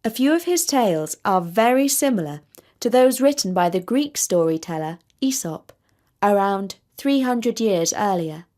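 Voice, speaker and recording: A woman storyteller narrating a scripted children's tale in measured, deliberate phrases. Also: mid-range voice, animated, darkish tone.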